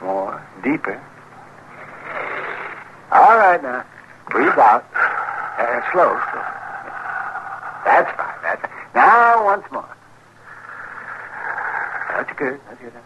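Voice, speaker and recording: Crusty, animated frontier doctor. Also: male voice, mid-range voice, very slow, long pauses. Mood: brisk, fussy. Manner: testy, animated. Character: crusty, irascible frontier doctor, fussy and sardonic. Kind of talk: old-time radio western acting. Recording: noisy old radio recording.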